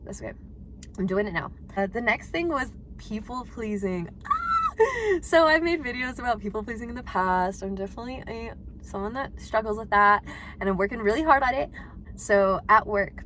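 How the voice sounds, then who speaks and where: A woman in her twenties talking casually to her viewers, chatty and quick to laugh. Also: a little high, slight background noise. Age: 20s. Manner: chatty, laughs often, animated. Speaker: vlogger. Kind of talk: casual talk to camera.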